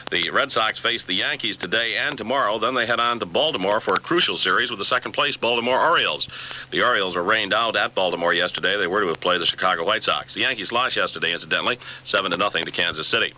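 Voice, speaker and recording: A male newsreader delivers a scripted radio bulletin in a low voice with a sharp, intensely bright edge. He sounds like a local AM station in the 1970s, captured on a cassette aircheck. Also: fast talker, a little hiss or hum, low-quality recording. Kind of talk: scripted local news, sports and weather bulletin. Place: radio studio.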